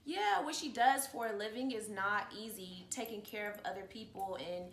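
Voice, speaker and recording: A woman in her early thirties chats casually as an interview guest. Her voice has a medium pitch. It is recorded in an echoey room with faint background noise. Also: normal speed, fairly bright tone. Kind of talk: casual personal chat.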